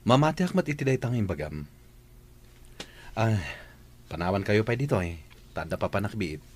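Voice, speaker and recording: A lowish male voice with animated intonation, playing a composed employer and father as a man acts him. He is welcoming yet in charge, in conversational radio-drama style. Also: moderate pace.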